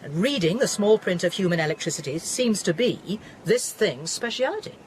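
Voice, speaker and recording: Woman scientist as acted, lively and analytical. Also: medium pitch, a little hiss or hum, close to an everyday voice. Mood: thoughtful. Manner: explanatory, measured, thoughtful. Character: rational, analytical scientist, patient and steadying. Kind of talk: audio drama acting.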